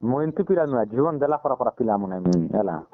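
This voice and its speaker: A man's voice: medium pitch, expressive, a little fast, dry recording. A man with a Koraputia Odia accent in a casual telephone conversation. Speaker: man on a phone call. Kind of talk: casual phone chat. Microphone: telephone line. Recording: tinny narrow-band phone call.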